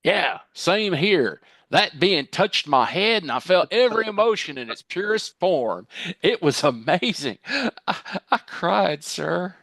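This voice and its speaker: A man playing a blissed-out, earnest alien abductee in a comedy sketch read, awed, sentimental and halting. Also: sits high, sing-song, vocal fry, fairly bright tone, no echo, full character voice. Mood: blissful.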